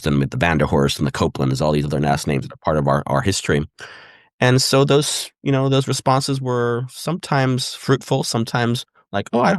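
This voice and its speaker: Fast-talking deep-voiced male historian. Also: dry recording, clean studio sound. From Puerto Rico. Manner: thoughtful, repeats "right?" and "again". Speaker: guest historian. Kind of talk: conversational interview answers.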